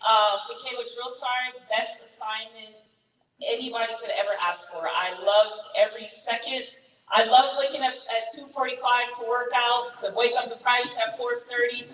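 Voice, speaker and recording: A woman in her thirties, raised in Germany with German as her first language, telling her Army career story to fellow soldiers in a candid, energetic way. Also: a little high, roomy sound, in a large hall, recorded from a distance, rough recording. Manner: candid, energetic, many fillers. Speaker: Army first sergeant, guest speaker. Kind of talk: personal story talk to soldiers.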